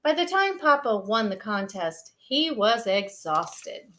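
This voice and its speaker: A woman storyteller reading a children's picture book aloud in an expressive storytelling style. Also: on the high side, slow pace.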